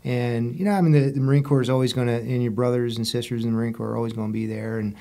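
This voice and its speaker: A man's voice: mid-range voice, steady tone, racing, warm tone. A man in his forties speaking as a podcast guest in casual interview chat, easygoing and colloquial, with some military slang. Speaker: podcast guest, Marine officer.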